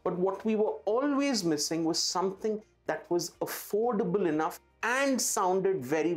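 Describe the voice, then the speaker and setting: Emphatic Indian-accented man presenting a product. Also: on the high side. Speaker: presenter for an audio retailer. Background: background music.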